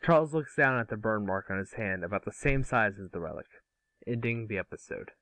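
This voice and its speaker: A man's voice: fairly low pitch, animated, a little fast, a bit bright, dry recording, low-quality recording. A man narrating a script in an amateur online table read. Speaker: narrator and series co-creator.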